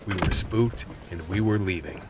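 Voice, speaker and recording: A man's voice: low-pitched, normal speed, music in the background, lightly acted. A man playing a world-weary, tense vice cop who narrates a radio play in a hard-boiled manner. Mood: tense, brooding.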